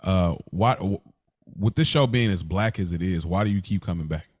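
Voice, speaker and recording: Male co-host, very low voice, earnest conversational delivery, dry close recording. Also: moderate pace, dry recording. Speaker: podcast co-host. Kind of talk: casual podcast conversation.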